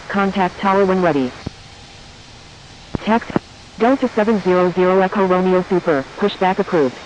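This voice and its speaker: High pitched guy doing clipped air traffic radio calls, pretty noisy engine background. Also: lo-fi sound.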